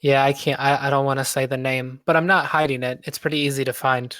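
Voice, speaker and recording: A man's voice: mid-range voice, level tone, fast, a bit bright, no echo, studio quality. A man of thirty chatting casually on a livestream, laid-back with self-deprecating humor. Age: 30s. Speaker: guest, web developer.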